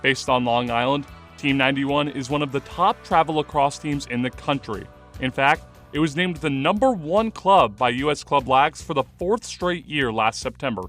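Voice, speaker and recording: A man's voice: mid-range voice, normal speed, few pauses, a bit bright, soft background music. A man reading a scripted sports radio report as the reporter.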